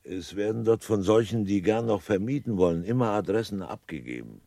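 A very low, dry-sounding man of the seaside. His pitch moves in lively swings as he gives deferential, leisurely advice. He comes across as folksy and obliging. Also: a little fast, gravelly, no room sound. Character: obliging male seaside local advising a lady on lodgings. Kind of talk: literary radio play acting.

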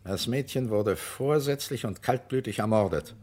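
An actor playing a stern, persistent investigator in a German radio crime drama, speaking in a deliberate, formal, insistent way. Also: male voice, medium pitch, darkish tone, close to an everyday voice. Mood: stern, pressing. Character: stern, persistent male investigator pressing a witness.

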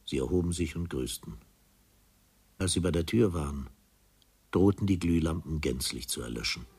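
A man's voice: a bass voice, normal speed, a little mellow, husky. A man narrating a literary radio drama in a formal, solemn manner. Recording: music behind the voice.

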